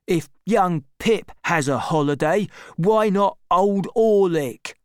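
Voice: medium pitch, slow pace, darkish tone, smooth, no echo, clean studio sound, pitched above the performer's own voice, lightly acted. A reader doing a sulky, surly workman. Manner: surly and complaining. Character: big, sulky young workman, always unhappy.

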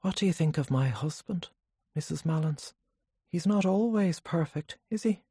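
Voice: mid-range voice, expressive, moderate pace, mellow, slightly rough, no echo, clean studio sound, voice pushed up. Narrator as an eager, coaxing wife. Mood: teasing. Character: eager, warm, mildly teasing wife.

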